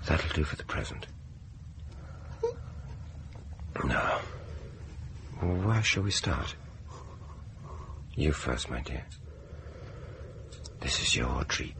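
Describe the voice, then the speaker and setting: Radio horror villain: vengeful dentist, deep and unhurried, gloating, menacing, prone to whispering. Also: male voice, lively intonation, vocal fry, background noise, exaggerated voice. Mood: sinister relish. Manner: menacing, deliberate, theatrical, lapsing into whispers. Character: sinister vengeful dentist gloating over his captive patient. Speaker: radio drama actor. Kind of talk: radio horror drama acting.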